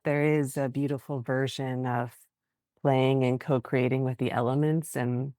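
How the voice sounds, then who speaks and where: Interview host, reflective, contemplative, deliberate phrasing, dry room-free sound. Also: mid-range voice, little pitch movement, mellow, no echo. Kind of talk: reflective long-form interview.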